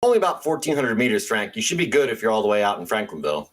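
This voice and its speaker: A man's voice: on the low side, sing-song, racing. A man playing a matter-of-fact host of a paranormal call-in radio show. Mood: genial, assured. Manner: matter-of-fact about bizarre things. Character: folksy conspiracy radio host of weird small-town stories.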